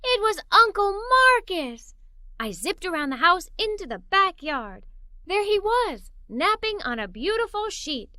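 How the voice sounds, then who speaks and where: A woman reading a children's story aloud in an animated, playful, emphatic way, as a young girl telling it. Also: extremely high pitch, a little slow, dry recording, studio quality. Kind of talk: expressive read-aloud storytelling in a young girl's first person.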